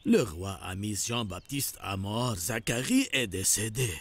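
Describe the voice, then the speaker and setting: An actor voicing a scheming, gloating rabbi in a cartoon, with theatrical, drawn-out delivery. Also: male voice, fairly low pitch, lively intonation. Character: scheming gloating villainous rabbi, male plotter. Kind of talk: animated cartoon villain acting.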